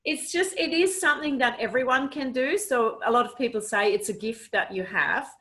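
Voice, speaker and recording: A woman's voice: high-pitched, moderate pace, pushed and bright. A woman from Switzerland, a guest animal communicator, answering interview questions in an earnest, explaining way with many "you know"s, over a video call.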